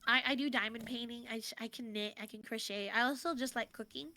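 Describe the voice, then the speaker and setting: A woman's voice: a little high, fast talker, sharp tone. An American woman chatting casually and expressively as a guest on an interview stream. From United States. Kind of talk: casual chat.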